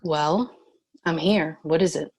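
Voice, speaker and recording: Woman as a low-pitched, guarded caring leader. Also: slow, a little mellow, slightly rough, full character voice. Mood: impatient, guarded. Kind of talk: screenplay table read.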